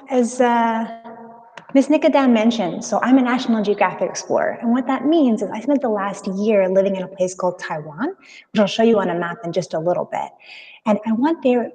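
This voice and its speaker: A woman's voice: a little high, warm tone, breathy edge, low-quality recording. A woman in her twenties with a Californian American accent gives an informal, interactive presentation to students over a video call, in an enthusiastic, casual manner. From California, United States. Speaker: guest speaker, explorer and storyteller. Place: live video call with screen sharing.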